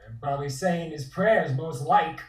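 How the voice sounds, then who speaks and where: A man's voice: fairly high pitch, normal speed, lightly acted. A man playing a cocky, foul-mouthed tough gunman in an audio table read. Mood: sardonic, cocky. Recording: echoey, distant room sound.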